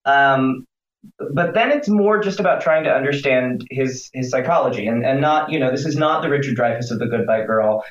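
A man's voice: medium pitch, moderate pace. Roomy, distant man chatting animatedly. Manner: animated, rambling, many restarts and fillers. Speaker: stage actor, interview guest. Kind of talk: conversational interview answer. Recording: distant roomy muffled sound.

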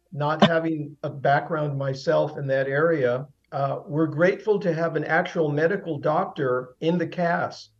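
A man stage actor speaking in a relaxed, hesitant way with many fillers. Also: on the high side. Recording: dull lo-fi sound.

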